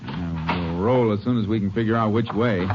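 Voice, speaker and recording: An actor playing a calm, clipped Texas Ranger in a 1950s radio drama, in a dull, noisy old recording. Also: male voice, lowish voice, normal speed, lightly acted. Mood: matter-of-fact. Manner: clipped, matter-of-fact. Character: steady male Texas Ranger lawman, calm and dry.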